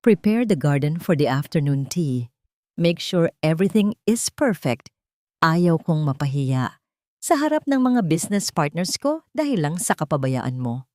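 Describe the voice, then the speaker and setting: A woman's voice: deep voice, very animated, a little slow, warm tone, no echo. Audio drama role: arrogant wealthy businessman done by a woman storyteller, cold, clipped orders, very dry sound. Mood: coldly commanding. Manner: cold, curt, commanding. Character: cold arrogant rich businessman, grown son.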